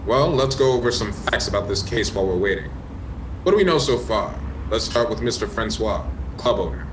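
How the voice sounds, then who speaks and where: A man playing a measured, skeptical American police inspector in a comic radio mystery. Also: lowish voice, animated, noisy background, natural voice. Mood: probing, composed.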